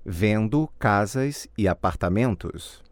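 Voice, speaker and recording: A man reading model answers in a language course drill, slow and clearly enunciated. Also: lowish voice, expressive, no echo.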